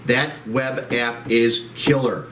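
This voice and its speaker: A man's voice: medium pitch, steady tone, takes it slowly, fairly bright tone, recorded from a distance, a little hiss or hum. A man co-hosting a casual chat show, rambling with many restarts, his voice soothing. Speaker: co-host. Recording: echoey room, off-mic.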